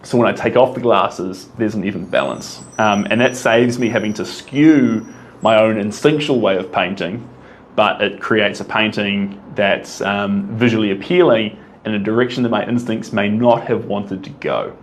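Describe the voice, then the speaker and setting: Rambling New Zealand man, lowish voice. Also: steady tone, normal speed, a little hiss or hum. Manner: rambling, enthusiastic, frequent ums and restarts. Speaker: artist answering viewer questions. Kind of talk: casual Q&A monologue.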